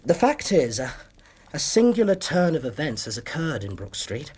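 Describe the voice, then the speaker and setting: A young English doctor in period radio drama, modest and courteous. The voice sits a little high and is slightly husky. He speaks precisely but hesitantly, with lively rises and falls. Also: normal speed. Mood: polite, nervous. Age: young adult. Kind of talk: period radio drama acting, narrating his story.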